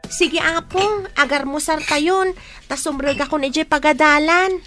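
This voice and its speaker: A woman's voice: high voice, a little slow, over music. A woman acting a brash, stubborn young woman chasing a man in an amateur audio drama. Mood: dutiful. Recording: clearly noisy home recording with clicks.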